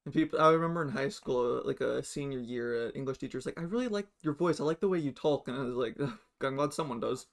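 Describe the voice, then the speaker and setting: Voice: mid-range voice, fast talker, creaky, few pauses. A young adult podcast host chatting casually, frank and swearing freely.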